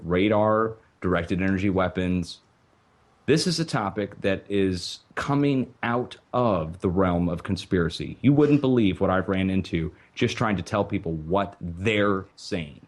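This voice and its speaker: Low-voiced man hosting a call-in show. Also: animated, a little slow. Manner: earnest, emphatic lecturing with rhetorical questions. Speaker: radio show host.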